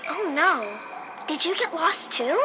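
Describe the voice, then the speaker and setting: Very high, lilting, eerie little girl. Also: sing-song, slow pace, music in the background, heavily acted. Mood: friendly, curious. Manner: childlike, singsong, naive. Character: eerily cheerful little girl, innocent and strange. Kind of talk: 1950s radio drama acting. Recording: muffled vintage radio sound, clear noise bed.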